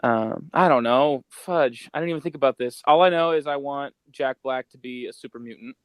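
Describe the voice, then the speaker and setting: Male podcast co-host, medium pitch, loose slangy thinking-aloud chat, very dry room. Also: normal speed, smooth, no echo. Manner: slangy, rambling, thinking out loud.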